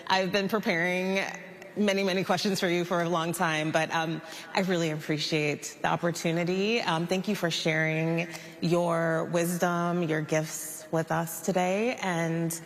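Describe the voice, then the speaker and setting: A woman's voice: fairly low pitch, flat delivery, a little slow. A woman interviewer on stage, thanking her guest in a gracious way as she wraps up. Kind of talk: onstage interview. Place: large convention hall. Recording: long hall reverb, crowd behind.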